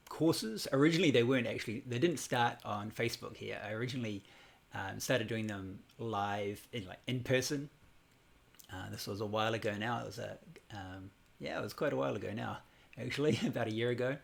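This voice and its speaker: A man from New Zealand in his forties, teaching a Buddhism class over a casual livestream in a relaxed, rambling way with many fillers and restarts. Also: mid-range voice, vocal fry. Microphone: computer or phone livestream.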